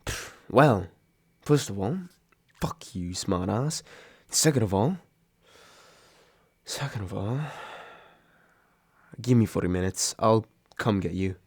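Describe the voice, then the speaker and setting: A man's voice: lowish voice, animated, slow, pauses often, darkish tone, breathy edge, no room sound, exaggerated voice. A young man acting a grumpy, sarcastic but secretly caring roommate woken from sleep, grumbling and sighing in an intimate roleplay audio drama. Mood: grudgingly giving in. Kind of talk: intimate roleplay audio drama, one side of a phone call.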